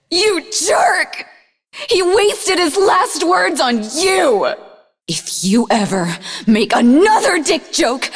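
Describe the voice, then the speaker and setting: An actress playing an anguished, desperate young woman in a video game, frantic and halting in her delivery. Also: extremely high pitch, fairly bright tone, exaggerated voice. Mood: furious grief. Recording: long reverberant hall echo.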